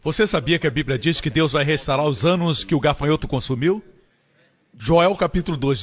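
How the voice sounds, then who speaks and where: A man preaches with strong emphasis, his fairly high voice leaping upward when he drives a point home. A long reverb tail hangs behind every phrase, as if the sound carries through a large hall. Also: fairly bright tone. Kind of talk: Portuguese-dubbed sermon.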